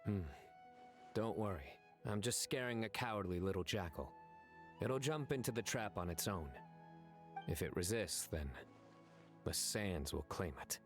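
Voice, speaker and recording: A stern, deadpan desert lawman for an anime game, low in pitch. He speaks in terse, measured, confident lines, with game music playing behind him. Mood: calm, confident. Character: grave, stern adult male desert law enforcer, terse and confident. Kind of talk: anime video game acting.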